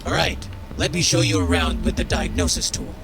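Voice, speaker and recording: A man's voice: moderate pace, music in the background, lightly acted. An actor playing a stern, jaded military sergeant in a game, cold, contemptuous and authoritative as he drills a recruit. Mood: instructive, stern. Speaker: game character voice. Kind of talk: scripted game dialogue.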